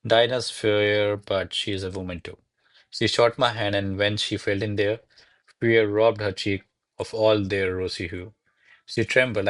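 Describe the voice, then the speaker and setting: A man acts a cautious secretary serving a great lady in a classical radio comedy. His voice is on the low side. He delivers formal verse in a measured, deferential, theatrical way. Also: a bit bright.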